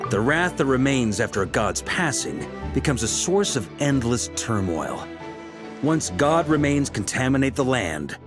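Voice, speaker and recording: An actor voicing a confident male strategist who explains things persuasively and calls out with theatrical flair. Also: on the low side. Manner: persuasive, then showy calling out.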